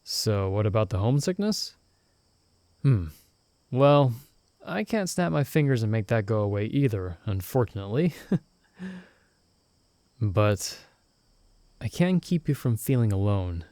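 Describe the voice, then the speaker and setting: A young man voicing a loyal friend stranded in another world, who talks in a soft-spoken, gently teasing and reassuring way in an ASMR roleplay recorded close to the mic. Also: on the low side, sing-song, unhurried, long pauses, dry recording. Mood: gentle, reassuring.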